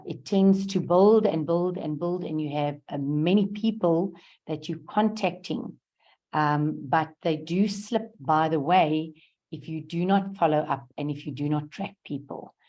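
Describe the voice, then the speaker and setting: A hoarse woman gives earnest, halting feedback on a video call, with many pauses and ums. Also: sits low, dark tone. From South Africa. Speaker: guest speaker sharing event feedback. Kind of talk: unscripted testimonial on a video call.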